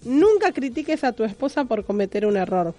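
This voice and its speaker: A woman co-hosts a radio show in a casual, chatty style. Her voice is fairly high, with faint music playing behind it. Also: sing-song, a little fast, dark tone.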